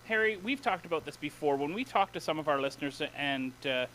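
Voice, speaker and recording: A man hosting a gaming podcast in casual chat, excitable and playful, laughing often. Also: fairly high pitch, a little fast, a little hiss or hum, low-quality recording. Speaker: podcast host.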